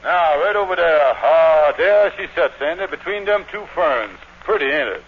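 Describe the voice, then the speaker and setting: Actor as high-voiced, blustering radio con man. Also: lively intonation, normal speed, natural voice. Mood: boastful, sly. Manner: smooth-talking, blustering salesman patter. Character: scheming fast-talking lodge con man. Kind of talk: 1940s radio comedy acting. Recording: dull, noisy old radio recording.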